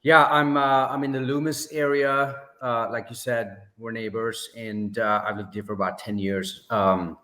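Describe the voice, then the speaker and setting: A man, telling his story as a podcast guest in a measured way, pausing often with fillers. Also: medium pitch, steady tone, pushed and bright. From Sri Lanka. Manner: measured, many pauses and fillers. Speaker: podcast guest, business owner. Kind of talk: casual interview storytelling. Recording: echoey room.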